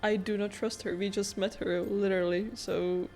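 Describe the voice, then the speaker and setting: A woman's voice: medium pitch, flat delivery, normal speed, dark tone. A woman gamer giving casual, offhand commentary as she plays, musing aloud. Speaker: gamer, video creator. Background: game music.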